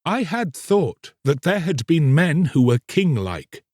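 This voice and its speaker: Man voicing an earnest young king, lively pitch. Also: slow pace, no room sound, studio quality, full character voice. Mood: questioning. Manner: resolute, archaic, formal speech. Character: earnest, solemn young king in humble dress.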